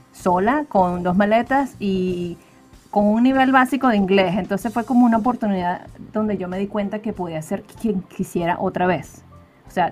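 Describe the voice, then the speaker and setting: Venezuelan woman chatting on a podcast, thoughtful, lots of ehs, soft music under. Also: mid-range voice. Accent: Venezuelan Spanish. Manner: reflective, with frequent fillers. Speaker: podcast guest, designer. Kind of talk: conversational interview.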